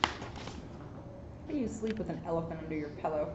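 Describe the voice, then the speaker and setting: A woman's voice: deep voice, normal speed, background noise. A woman chatting casually as co-reader at a story reading, off mic in an echoey, dull recording. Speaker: co-reader, police officer. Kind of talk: casual side comments during story reading.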